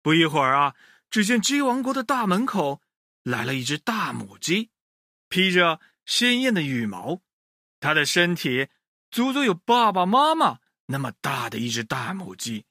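A man narrates a storybook for small children with big swings in pitch. His voice is bright and forward, recorded close and dry, and he addresses his young audience directly. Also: sing-song, moderate pace, no echo.

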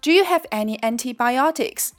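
A woman reading the lines of a polite female customer at a pharmacy in a clear, careful textbook manner. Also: on the high side, animated, normal speed, no room sound, soft background music, lightly acted.

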